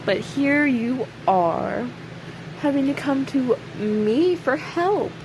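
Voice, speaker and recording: A woman playing a snarky, cocky imprisoned serial killer in an audio roleplay, sarcastic and teasing, with pointed pauses. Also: sits high, full character voice. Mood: mocking, taunting. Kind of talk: audio roleplay acting, addressing an unheard listener. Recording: steady clear background hiss or hum.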